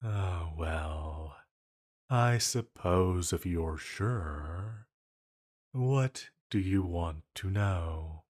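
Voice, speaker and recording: A man's voice: sits low, extremely slow, a little mellow, exaggerated voice. A man playing an ancient banished villain entity in an intimate roleplay audio, flirty yet lonely, musing hesitantly. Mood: touched, doubtful.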